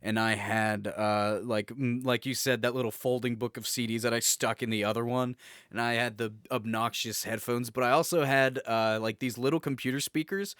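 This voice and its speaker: A man co-hosting a podcast in casual, nostalgic banter, telling stories in an animated way with easy swearing. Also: mid-range voice, moderate pace, clear, resonant tone, dry recording, studio quality. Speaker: podcast co-host.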